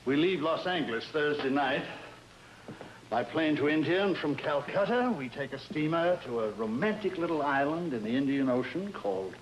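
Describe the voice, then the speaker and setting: An actor playing a stern, formal judge and earnest husband, speaking precisely in an old-style suspense drama. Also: male voice, a little high, animated, moderate pace, highs cut off, a little hiss or hum, lightly acted. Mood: earnest persuasion.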